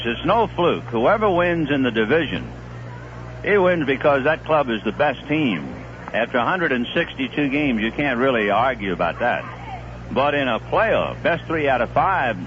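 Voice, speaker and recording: Excited baseball announcer on old radio. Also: male voice. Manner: calls plays with rising excitement, adds analysis between pitches. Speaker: play-by-play announcer. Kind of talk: live baseball radio commentary. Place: stadium broadcast booth. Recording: dull, noisy 1970s AM radio broadcast.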